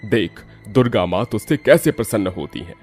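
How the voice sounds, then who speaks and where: A man's voice: lowish voice, lively intonation, normal speed, a little mellow. Man voicing a cartoon holy man, music underneath. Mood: benevolent. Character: holy man giving devotional instruction to a woman. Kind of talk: cartoon voice acting.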